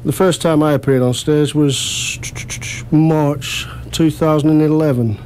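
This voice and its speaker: A man's voice: on the high side, steady tone, measured pace, a little mellow, slight background noise. A man chatting casually as a radio interview guest, modest and self-deprecating. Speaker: amateur actor interview guest.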